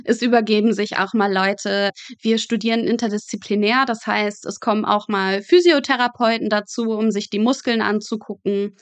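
A woman's voice: medium pitch, fairly even intonation, moderate pace, hardly any pauses, dry recording. A woman in her twenties, a medical student being interviewed, telling vivid stories in a frank, matter-of-fact conversational way. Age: 20s.

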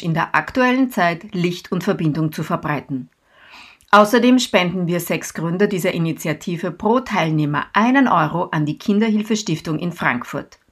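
A woman, a linguist, hosting a podcast interview, talking with enthusiasm and encouragement. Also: lowish voice. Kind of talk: podcast interview, scripted intro.

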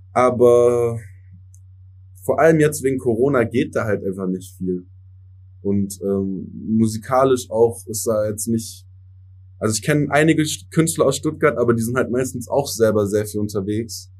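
Young guy, native english speaker, lowish warm voice, super casual podcast guest, slangy with lots of fillers. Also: unhurried. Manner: relaxed youth slang, English words mixed in, many fillers. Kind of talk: casual interview chat.

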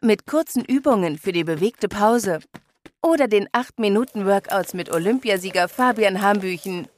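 A woman doing a scripted voice-over for an advertisement. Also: mid-range voice. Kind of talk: scripted commercial narration.

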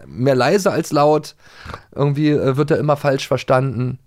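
A man's voice: medium pitch, moderate pace, darkish tone. A man co-hosting a podcast, chatting casually and reminiscing, with a hesitant delivery full of fillers and restarts. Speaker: podcast co-host.

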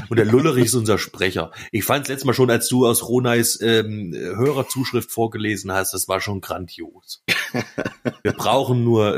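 Enthusiastic podcast guy, husky voice, chatting real casual with his buddies. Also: on the low side. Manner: colloquial, enthusiastic. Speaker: podcast co-host. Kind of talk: casual chat among friends.

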